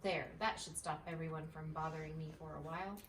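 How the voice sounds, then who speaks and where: A woman's voice: deep voice, fairly even intonation, brisk, a bit bright, voice pushed down. A woman voicing a grumpy, snappish male squirrel who hates Christmas in a storybook, huffy and growling. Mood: grumbling. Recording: roomy, distant mic, faint noise.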